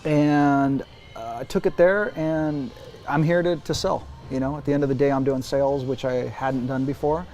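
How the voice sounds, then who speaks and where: A man in his forties giving casual interview answers, emphatic and animated, often restarting his sentences. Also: medium pitch, moderate pace, faint music behind. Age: 40s. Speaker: interview guest, brand executive.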